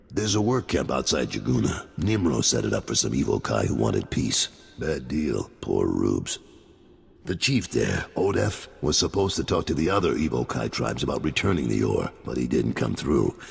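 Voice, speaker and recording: An actor playing a blunt, gruff gangster boss in a video game. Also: male voice, very deep voice, big pitch swings, moderate pace, long reverb tail, heavily acted.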